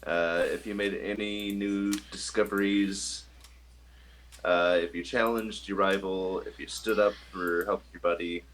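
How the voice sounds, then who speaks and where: A man running a tabletop role-playing session as game master, talking in a relaxed way with many pauses and fillers. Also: deep voice, slight background noise.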